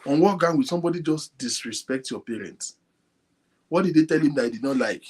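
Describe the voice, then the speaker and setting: A man hosting an online talk show, speaking softly and addressing his viewers directly. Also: fairly high pitch, animated, brisk, husky. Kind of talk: casual talk to a live audience. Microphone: phone linked to a computer.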